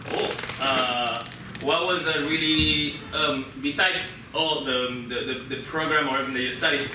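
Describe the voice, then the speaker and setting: A man from Peru hosting a casual live interview on stage, speaking informally and haltingly, often repeating words as he puts his questions. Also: fairly high pitch, steady tone. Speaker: host interviewing a guest on stage. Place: live show venue with an audience of about fifty. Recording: roomy echo, distant mic, loud background noise.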